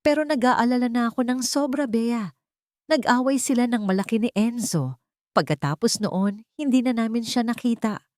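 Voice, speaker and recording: A woman's voice: on the high side, moderate pace, dry recording, pitched above the performer's own voice, lightly acted. Woman voicing worried aunt, middle-aged, urgent, pleading, audio story drama, dry close sound. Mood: tearful, anxious. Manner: anxious, urgent.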